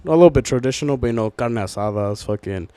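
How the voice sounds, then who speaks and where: A young man from Southern California talks casually as a podcast host among friends. His mid-pitched voice carries plenty of slang and swearing, and the sound is dry with little room to it. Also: lively intonation, fast, dark tone, smooth, no echo. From Southern California, United States. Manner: profane, slangy, fond of filler phrases.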